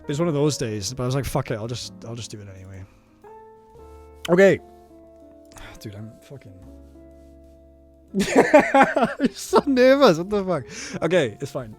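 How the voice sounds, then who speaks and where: A man's voice: a little high, big pitch swings, unhurried, pauses often, faint music behind. A man in his thirties from South Wales with a South Welsh accent, casually commenting on his own footage, nervous and self-deprecating, laughing often and swearing freely. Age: 30s.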